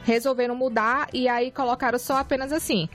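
A woman co-hosting a radio talk show, telling a story and chiming in with quick agreement. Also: high voice, fairly even intonation. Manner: quick, supportive interjections. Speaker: radio co-host. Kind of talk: casual radio chat.

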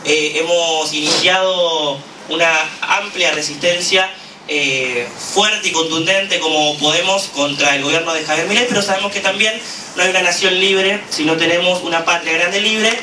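A man with an Argentine accent giving an impassioned political speech at a gathering, full of "eh" pauses. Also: sits high. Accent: Argentine Spanish. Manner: fervent, with frequent "eh" fillers. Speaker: activist speaker at a political gathering. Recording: echoey room, from a distance, noisy.

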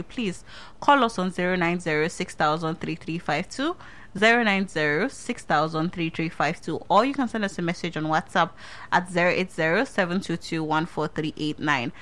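A woman's voice: lowish voice, normal speed, a bit bright. Nigerian woman hosting radio, light voice. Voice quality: small, light voice. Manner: chatty, laughs often. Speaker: radio show host. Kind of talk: casual radio talk. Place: radio studio.

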